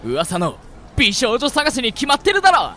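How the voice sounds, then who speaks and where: A man's voice: very high-pitched, wildly swooping pitch, moderate pace, crowd noise, heavily acted. Guy doing hyper teenage boy in a radio drama, swoopy delivery, slangy, music under him. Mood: playful, eager. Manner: playful, casual boyish slang. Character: upbeat, excitable high school boy, girl-chasing buddy. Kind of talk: amateur Japanese radio drama acting.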